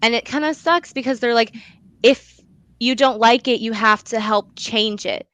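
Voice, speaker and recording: A young woman speaks as an interview guest in a bright, smooth voice. She is earnest and emphatic, talking conversationally. The sound is dry, with little room around her. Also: fairly high pitch, moderate pace, dry recording. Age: young adult. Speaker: guest, student actor and playwright.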